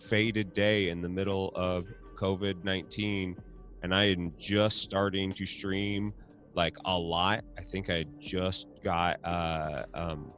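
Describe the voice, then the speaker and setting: A man's voice: low-pitched, fairly bright tone. A man hosting a casual video chat, laid-back and laughing often, swearing now and then. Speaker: host.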